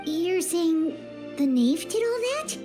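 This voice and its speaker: A woman's voice: extremely high pitch, animated, takes it slowly, over music, heavily acted. A woman voicing a tiny, childlike fairy companion in a video game, earnest and chatty. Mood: puzzled, concerned. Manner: earnest, speaks of self in third person.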